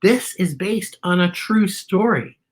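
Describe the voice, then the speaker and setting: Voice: medium pitch, slow. A children's story time host reading aloud and talking to young viewers in an enthusiastic, emphatic way. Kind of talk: animated read-aloud to children.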